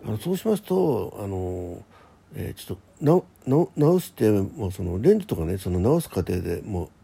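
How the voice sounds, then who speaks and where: A man's voice: fairly low pitch, very animated, moderate pace, gravelly, mellow, slightly rough. A man hosting a casual solo radio talk in a rambling, conversational manner. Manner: rambling, conversational, acts out quoted exchanges.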